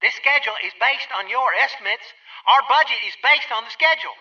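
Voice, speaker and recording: A man's voice: very high voice, fast talker, sharp tone, recorded from a distance, muffled recording, full character voice. A man playing an officious, exasperated administrator in a video game, argumentative and clipped. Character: officious, exasperated male budget-minded administrator.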